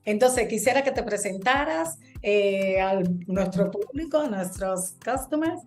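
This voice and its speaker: A woman with a mid-range voice hosts a casual conversation. She pauses often and fills the gaps with hesitations while staying friendly. Faint music runs behind her. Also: mid-range voice, animated, a little mellow. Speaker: host, realtor. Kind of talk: casual interview chat.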